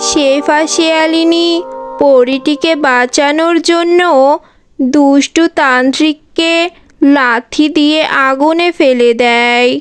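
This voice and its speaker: A woman's voice: sits high, takes it slowly, soft background music. A woman narrator reading a scripted children's story.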